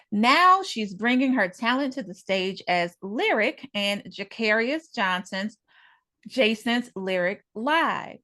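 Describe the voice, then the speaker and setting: A woman speaks as the host of an interview show. Her voice sits at an average pitch for a woman, and she moves between introductions and questions for her guest. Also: fairly bright tone.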